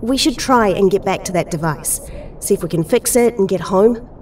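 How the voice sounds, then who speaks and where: Woman acting an anxious heroine, mellow, distant. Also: medium pitch, moderate pace, a little hiss or hum, natural voice. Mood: worried. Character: anxious, plain-spoken young woman hero. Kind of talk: audio drama acting.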